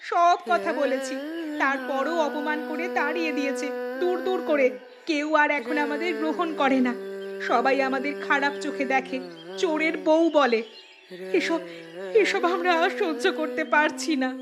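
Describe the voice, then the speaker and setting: A woman's voice: high-pitched, measured pace, loud music behind the voice. A woman voicing a poor, aggrieved thief's wife, plaintive and emphatic, in a cartoon drama. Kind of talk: Bengali cartoon voice acting.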